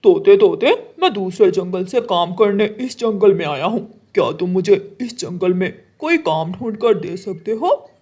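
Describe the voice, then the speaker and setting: Casting: cartoon male crow guard played by a woman, slow-spoken, emphatic, full of big promises, middling pitch. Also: expressive, darkish tone. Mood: pleading. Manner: emphatic, boastful. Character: boastful, hardworking male crow watchman. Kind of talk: children's cartoon voice acting.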